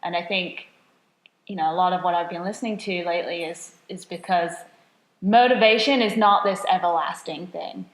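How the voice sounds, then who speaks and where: A woman's voice: lowish voice, normal speed. A woman co-hosting a casual podcast chat as a nutrition coach, chatty and self-deprecating, often laughing at herself. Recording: roomy echo.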